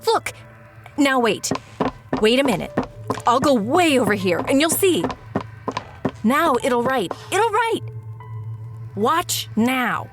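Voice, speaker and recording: Frazzled lady writer in a radio play, muttery n exasperated, really swoopy pitch, music under it. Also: big pitch swings, takes it slowly, long pauses, exaggerated voice. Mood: insistent. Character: frazzled, excitable woman radio-script writer hunting for ideas. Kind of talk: audio drama acting.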